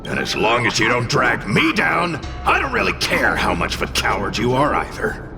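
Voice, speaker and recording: An actor playing a scornful, blustering demon spirit in a video game, with a gruff, mocking manner. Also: male voice, medium pitch, wildly swooping pitch, moderate pace, over music. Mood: gruff, contemptuous. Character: brash, scornful male demon spirit bound to a timid girl. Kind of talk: video game character acting.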